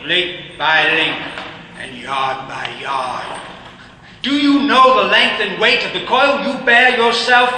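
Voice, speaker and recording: An actor voicing the solemn ghost of an old businessman in a radio play, speaking in a measured, grave way. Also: high voice, bright, forceful tone, exaggerated voice. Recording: echoey hall with faint hiss.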